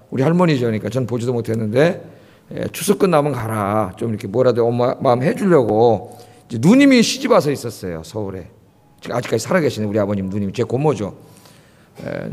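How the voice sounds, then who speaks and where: A man in his fifties from Seoul, preaching a sermon to a church congregation in a chatty way, often asking them questions. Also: medium pitch. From Seoul, South Korea. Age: 50s. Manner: conversational, asks the congregation questions. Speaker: pastor. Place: church sanctuary. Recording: long hall reverb.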